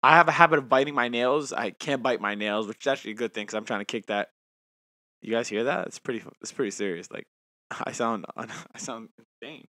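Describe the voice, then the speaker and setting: A man's voice: medium pitch, fairly quick, pushed and bright, dry recording, clean studio sound. A man in his twenties co-hosting a casual podcast, talking with a lisp from new teeth aligners, chatty and self-mocking. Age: 20s. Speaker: podcast co-host. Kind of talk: casual chat.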